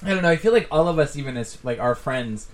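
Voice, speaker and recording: A man co-hosting a podcast in casual chat, animated and acting out quoted texts in different tones. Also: fairly high pitch, quick pace. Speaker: podcast co-host.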